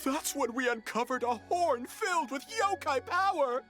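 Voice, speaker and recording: A woman's voice: sits high, lively intonation, normal speed, fairly bright tone, soft background music. A woman voicing a dramatic, childlike little tanuki yokai in a video game, exclaiming melodramatically.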